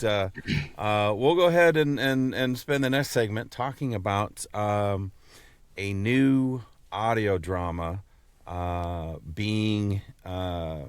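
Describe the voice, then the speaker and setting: A man hosting a podcast in casual chat, talking in a halting way and often repeating words as he explains. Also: lowish voice, smooth, dry recording. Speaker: podcast host.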